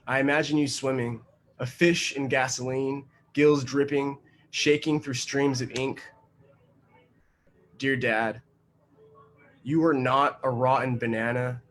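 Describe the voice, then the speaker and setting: A man reads his poems over a video call with a measured, rhythmic cadence and steady pauses. His voice sits at an ordinary middle pitch, with a faint hiss underneath. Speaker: guest poet. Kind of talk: poetry reading.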